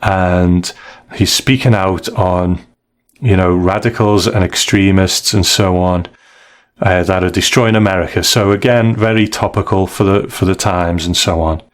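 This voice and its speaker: Man, podcast co-host, lower register. Casual, thoughtful review talk, feeling his way through phrases. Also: steady tone, a little slow. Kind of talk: casual discussion and story review.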